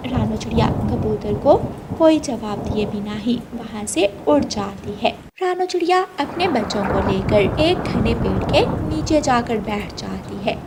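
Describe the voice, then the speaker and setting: A woman's voice: fairly high pitch, very noisy. A woman narrating a children's cartoon story in a dramatic storytelling manner.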